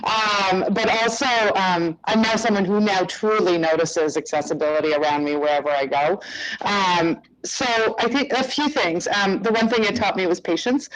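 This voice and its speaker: A woman answering as a conference panelist, loud and conversational, with frequent "um"s. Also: mid-range voice, normal speed, a crowd in the background, rough recording. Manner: loud, self-aware, conversational with fillers.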